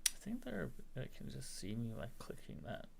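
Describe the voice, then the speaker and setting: A man with a slight American accent, chatting casually while teaching a live-streamed software tutorial and answering viewers. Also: mid-range voice, wildly swooping pitch, normal speed, creaky, background noise.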